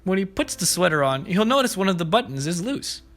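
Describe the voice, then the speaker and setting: A man's voice: high-pitched, sing-song, a little fast, fairly bright tone. A man hosting preschool story time, reading aloud and explaining things simply and expressively to young children. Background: background music.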